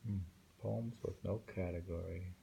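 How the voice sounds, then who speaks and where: A man reading poems aloud and commenting casually in a slow, musing way, with thoughtful hums. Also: low voice, fairly even intonation, creaky, mellow, slightly rough, poor audio. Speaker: reader of translated poetry.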